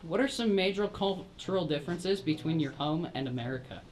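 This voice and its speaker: A student interviewer asking exchange students questions for a school TV show. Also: female voice, on the low side, lively intonation, a little fast, darkish tone, slight background noise, low-quality recording.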